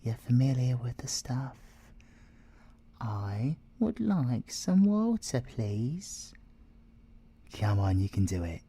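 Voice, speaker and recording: A British man playing a cocky, flirtatious male siren in an ASMR audio roleplay, breathy and teasing, often slipping into whispers. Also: mid-range voice, warm tone, no room sound, heavily acted. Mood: coaxing, cheeky. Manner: teasing, theatrical, drops into whispers.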